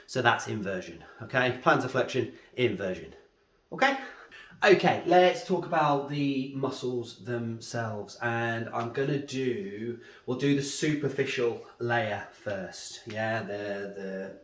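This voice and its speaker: A man's voice: medium pitch, slow pace, fairly bright tone. A man with a head cold, teaching anatomy at a board and often checking in with a questioning "yeah?". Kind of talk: whiteboard anatomy lesson. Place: room with a drawing board. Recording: echoey room.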